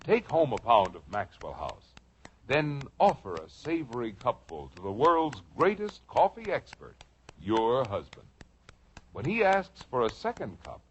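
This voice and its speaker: Animated American radio ad man. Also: medium pitch. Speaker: commercial announcer. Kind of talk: 1950s radio ad read. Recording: muffled old radio, faint noise.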